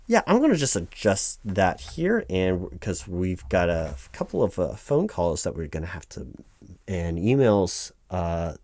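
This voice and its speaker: A man's voice: deep voice, big pitch swings, measured pace, darkish tone, no echo, full character voice. A man playing a flustered, apologetic office clerk in a one-sided ASMR roleplay, hesitant and rambling as he corrects himself. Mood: dismayed, apologetic. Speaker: claims paperwork interviewer.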